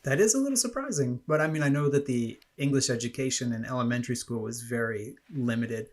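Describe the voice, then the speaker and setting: A man's voice: mid-range voice, fast. Middle-aged man, podcast host, rambling and chatty, easy laughter. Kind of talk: casual interview chat.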